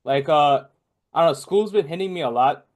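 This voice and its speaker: A man's voice: fairly high pitch, normal speed. Rambling young man, lots of fillers. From Bangladesh. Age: young adult. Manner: rambling, many fillers and restarts. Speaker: podcast guest. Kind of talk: casual chat.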